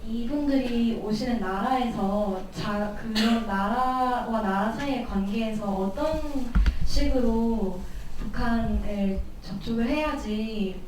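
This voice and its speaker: A woman's voice: on the high side, very fast, background noise. A woman interpreting questions and answers at a university Q&A. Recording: echoey hall from far off.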